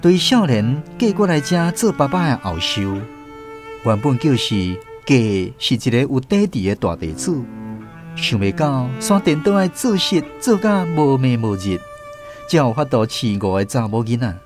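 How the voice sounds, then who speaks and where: A man with a Taiwanese accent reading scripted storybook narration for a radio drama, expressive and reminiscing. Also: medium pitch, normal speed. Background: music.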